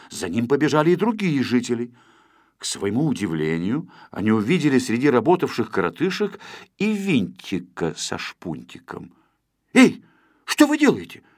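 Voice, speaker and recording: A man narrating a children's storybook in an expressive, scripted storytelling style. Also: mid-range voice, moderate pace, no room sound, studio quality. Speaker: audiobook narrator.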